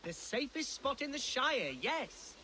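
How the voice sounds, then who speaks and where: High, swooping young English nobleman. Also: very animated, a little slow, bright, forceful tone, a little hiss or hum, full character voice. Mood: earnest, lovestruck. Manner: florid, theatrical, earnest. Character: young foolish lovesick Saxon nobleman, earnest and excitable. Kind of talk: video game character acting.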